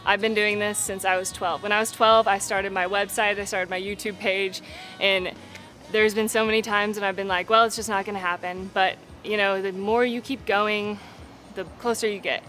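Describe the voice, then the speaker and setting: A woman's voice: medium pitch, steady tone, moderate pace, fairly bright tone, soft background music, rough recording. A young adult woman, a singer-songwriter, talking casually and candidly in an interview, peppering her speech with "like" and "you know".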